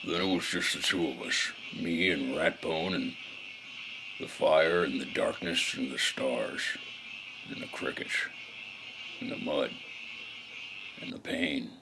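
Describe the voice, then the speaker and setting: Man as a deep-voiced, brooding noir gumshoe. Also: noisy background, full character voice. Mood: weary, sardonic. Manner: brooding, florid, deliberate. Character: world-weary, sardonic male noir gumshoe narrating his ordeal. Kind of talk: scripted hardboiled noir narration.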